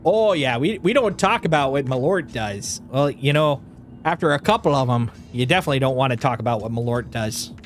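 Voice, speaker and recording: Animated, slightly nasal Wisconsin man. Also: mid-range voice, a little fast, faint music behind. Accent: Upper Midwest (Wisconsin), played up. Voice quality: a little nasal. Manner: joking and animated, laughs often, slips into exaggerated folksy exclamations. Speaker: game streamer. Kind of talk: casual live-stream chat.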